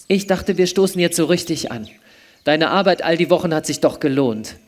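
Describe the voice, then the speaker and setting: A man's voice: on the high side, expressive, normal speed, pitched above the performer's own voice, lightly acted. A man voicing a caring teenage girl, an outspoken and soothing friend, in a live radio play. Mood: warm.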